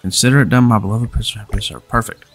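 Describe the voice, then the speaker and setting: A man in his thirties talks through a game in a relaxed, chatty way, his voice lowish and noticeably stuffed up from a cold. Faint game music runs underneath while he reads on-screen lines aloud. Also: rapid-fire. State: congested from a cold. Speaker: gaming channel host. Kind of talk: casual let's-play commentary. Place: home room, webcam in corner.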